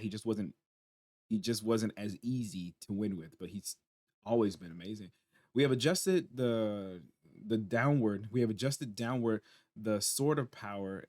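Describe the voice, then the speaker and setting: Hoarse, tired man reading patch notes. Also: fairly low pitch, no echo, clean studio sound. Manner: chatty, reacts aloud while reading on screen. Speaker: gaming channel host.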